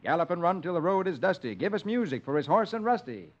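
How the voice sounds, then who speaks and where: A man's voice: high-pitched, sing-song, quick pace. An American man hosting and reading comics aloud in dramatic 1950s radio storytelling style for children. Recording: muffled old radio recording.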